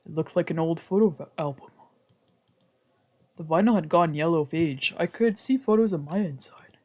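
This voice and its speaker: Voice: mid-range voice, moderate pace, darkish tone. Streamer reading a visual novel aloud in first person, with whispered asides; mid-range voice. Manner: reads narration in first person, translating lines, with whispered asides. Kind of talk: reading game narration aloud.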